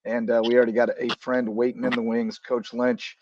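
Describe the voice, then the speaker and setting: A man hosting a casual basketball talk show with a voice a bit raspy from shouting the day before, rambling easily with lots of fillers. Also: medium pitch, little pitch movement, a little fast, background noise. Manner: easygoing, rambling with many fillers. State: slightly raspy from coaching. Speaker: podcast host. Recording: dull, dry sound.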